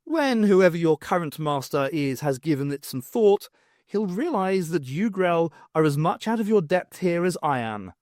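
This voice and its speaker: Man playing a brisk, wry woman professor, high-pitched. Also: sing-song, a little mellow, dry recording, clean studio sound. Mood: wry, persuasive. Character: brisk, wry woman archaeology professor, bossy and quick-witted.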